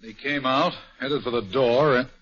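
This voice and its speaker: A man's voice: medium pitch, moderate pace, a bit bright, natural voice. A man playing a blunt police inspector. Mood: matter-of-fact. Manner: plain-spoken, matter-of-fact. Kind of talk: 1940s radio acting. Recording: muffled old radio broadcast.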